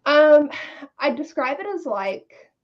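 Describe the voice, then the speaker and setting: A woman's voice: high voice, very animated, slow, lo-fi sound. A woman chatting casually and candidly, with informal, slangy wording, as a co-host of a book discussion. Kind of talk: casual chat.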